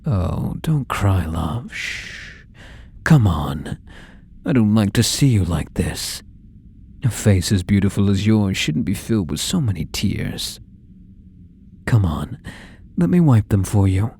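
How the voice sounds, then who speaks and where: Man acting a low, husky, possessive stalker. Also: sing-song, exaggerated voice. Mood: soothing, menacing. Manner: soft, coaxing, menacing, slow and deliberate. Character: obsessive, possessive young male supermarket stalker, falsely tender. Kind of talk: intimate ASMR audio roleplay.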